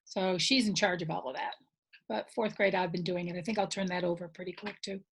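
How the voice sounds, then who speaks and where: A woman's voice: on the low side, steady tone, a little fast, creaky, fairly bright tone, slightly rough. A middle-aged American woman chatting casually with colleagues in a work meeting on a video call. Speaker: school staff member leading teachers.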